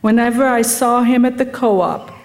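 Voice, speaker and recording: A woman's voice: a little high, moderate pace. A woman hosting a memorial gathering, reading a tribute and addressing those present. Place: memorial gathering at an art center.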